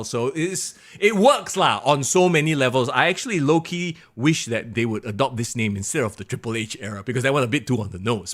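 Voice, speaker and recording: A young man with a Singaporean English accent hosting a wrestling podcast alone, reading viewer comments in an excitable way, his voice going hoarse. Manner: excitable, animated, drops to low mutters. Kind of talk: solo live-stream chat reading viewer comments.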